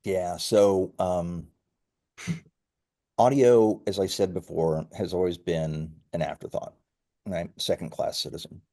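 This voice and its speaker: A man being interviewed as a company founder, explaining things in a measured, conversational way. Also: deep voice, fairly even intonation, dark tone.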